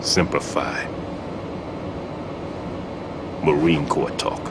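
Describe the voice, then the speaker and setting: Deep low voice, grave demolition expert, measured, explanatory, video game drama, noisy ambience. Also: male voice, flat delivery. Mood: wary, serious. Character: grave, expert bomb disposal man with injured leg.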